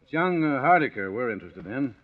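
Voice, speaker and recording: An actor playing a brisk, matter-of-fact American chief inspector with blunt, dismissive authority in 1940s radio style. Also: male voice, mid-range voice, sing-song, close to an everyday voice. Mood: brisk, assured. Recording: dull, noisy old radio broadcast.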